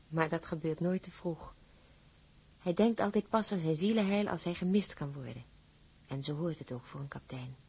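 Letter reader: strongly husky, lowish pitch, dramatised reading of a sailor's letter. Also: female voice, a little mellow.

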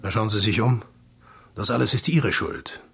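A low, gravelly voice for a commanding male spy chief in a radio drama. He is gruff and weary, issuing terse, deliberate words with a heavily husky grain. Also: animated, fairly bright tone, heavily acted. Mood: reproachful. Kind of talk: German radio drama acting.

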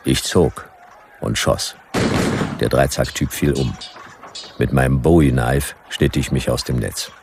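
Very deep, laconic detective narrator. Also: male voice, steady tone, a little slow, creaky, long pauses, noisy background, full character voice. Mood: dry, laconic. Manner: laconic, dry, clipped sentences. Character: laconic hard-boiled male private detective, wryly narrating. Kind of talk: noir radio play first-person narration.

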